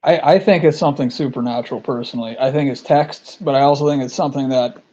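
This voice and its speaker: A man hosting a relaxed interview chat, talking loosely with fillers and repeated words. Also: mid-range voice, fast talker. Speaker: podcast host. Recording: dull lo-fi sound.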